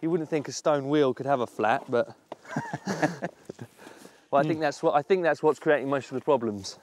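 A man's voice: medium pitch, normal speed. Bantering man on a noisy farm. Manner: inquisitive, light banter. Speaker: historian presenter. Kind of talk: casual chat.

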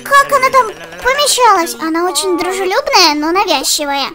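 A woman's voice: very high voice, lively intonation, moderate pace. A woman hosting a children's let's play with childlike exclamations, voicing the game's characters as she comments. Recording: music behind the voice. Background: music.

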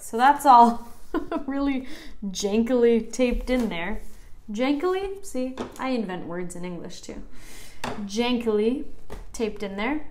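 A woman's voice: on the high side, slight background noise. A young American woman in her twenties, vlogging casually from her living room. She chats in a self-deprecating way, with lots of "like" and laughter. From United States. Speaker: vlogger learning Basque. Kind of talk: casual chatty vlog. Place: living room at home, indoors.

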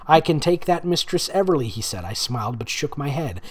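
A man's voice: on the high side, sing-song, fairly quick, slightly rough. A man reading fiction aloud in an amused, sarcastic way, with casual mocking asides. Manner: amused, sarcastic, breaks off to comment. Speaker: host reading fan fiction aloud with commentary.

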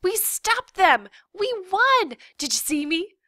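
A woman voicing an excitable, defiant ten-year-old girl in a story, indignant, dramatic and argumentative. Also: high voice, measured pace, no echo, studio quality, voice pushed up, exaggerated voice. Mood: triumphant, shouting.

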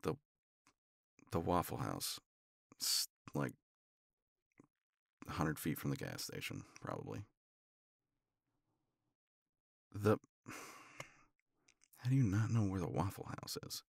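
A man playing a tender, teasing older boyfriend in an intimate romance ASMR roleplay, murmuring in a halting, playful way. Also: a bass voice, huge pitch swings, vocal fry, husky, dry recording, clean studio sound, full character voice. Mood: teasing, soft. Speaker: audio roleplay voice actor.

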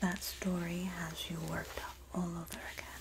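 A woman playing a sassy, supportive Jersey girl in an ASMR roleplay, speaking softly and often whispering in a breathy, doting, gossipy way with a New Jersey accent. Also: fairly low pitch, level tone, background noise, lightly acted. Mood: soothing. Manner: slow, gossipy, doting, dramatic emphasis.

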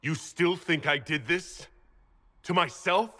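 An actor playing a bewildered young noble warrior held prisoner in a video game, halting and earnest. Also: male voice, a little high, pushed and bright, exaggerated voice. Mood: questioning, wary. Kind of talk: dramatic video game acting. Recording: echoing hall, music underneath.

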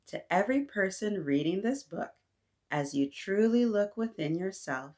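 A woman in her thirties reading aloud to young children, animated and encouraging, often addressing them directly with questions. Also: low voice. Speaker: children's story-time host. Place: small decorated indoor room.

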